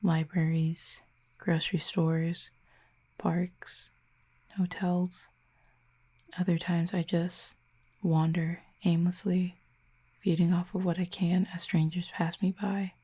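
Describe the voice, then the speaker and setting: A soft-spoken cupid cast out of her home realm, voiced by a woman in an audio roleplay, low and breathy with a dark, mellow tone. She talks slowly and quietly, sometimes close to a whisper, and her pitch barely moves. She stays gentle and wistful throughout. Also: flat delivery. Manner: soft, hushed and slow, often near a whisper.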